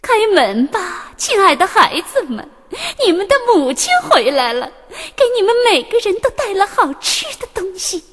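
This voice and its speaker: A woman plays a sly storybook wolf pretending to be a sweet mother goat. The voice is thin and extremely high, with coaxing singsong swoops. A long reverb trails it. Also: very animated, normal speed, few pauses, exaggerated voice. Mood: sweetly coaxing. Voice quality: thin, softened falsetto-like. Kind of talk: children's storybook character voice. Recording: reverberant hall-like echo.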